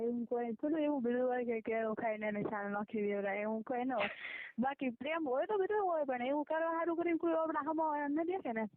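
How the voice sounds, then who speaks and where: A woman's voice: high voice, slight background noise. A young woman with a rural Gujarati accent gossips casually in a private call, chattily asking questions and retelling conversations. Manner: chatty, questioning, recounts conversations in quoted back-and-forth. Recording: muffled, band-limited phone call recording.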